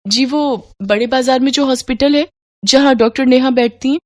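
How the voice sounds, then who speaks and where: A woman's voice: high voice, moderate pace, no echo, clean studio sound, pitched above the performer's own voice, natural voice. A woman voicing a respectful, caring and practical young sister-in-law in a dramatized moral story. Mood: helpful.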